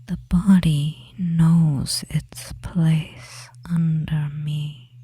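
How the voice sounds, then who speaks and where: Performer as a breathy, whispering, devious witch. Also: medium pitch, flat delivery, heavily acted. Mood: soothing, dominant. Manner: very slow, hushed, hypnotic, with long pauses. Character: devious, dominant young femboy witch, soothing and possessive. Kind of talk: whispered ASMR hypnosis roleplay.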